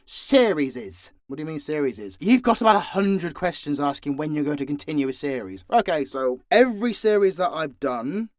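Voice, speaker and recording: A man's voice: fairly high pitch, expressive, normal speed, few pauses, no room sound, studio quality. A man speaking off the cuff as an audio drama creator answering listeners, blunt and sweary with a theatrically exasperated manner. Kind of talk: unscripted Q&A monologue to listeners.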